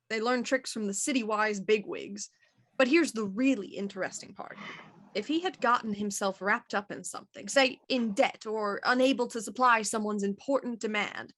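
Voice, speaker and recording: A shrewd female inspector in a period radio mystery. Her voice is bright and forward, fairly high, and she talks with dry, wry confidence, never flustered. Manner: dry, wry, self-assured. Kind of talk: audio drama acting, Victorian murder mystery radio program.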